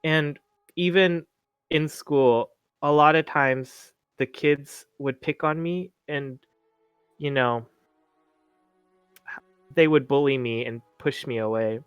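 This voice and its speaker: A haunted, polite teenage boy, played by a man in a tabletop game. He speaks slowly and haltingly, stammering in a fairly high, clear voice. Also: no echo, soft background music. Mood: pained, restrained. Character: timid, polite blind orphaned teenage boy, haunted survivor.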